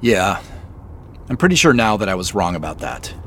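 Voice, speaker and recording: An actor playing an inquisitive, slightly sheepish amateur sleuth in a naturalistic audio drama, talking in an easygoing, conversational way. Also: male voice, low voice, sing-song, moderate pace, a bit bright, heavily acted. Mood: puzzled, earnest. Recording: faint vehicle noise behind.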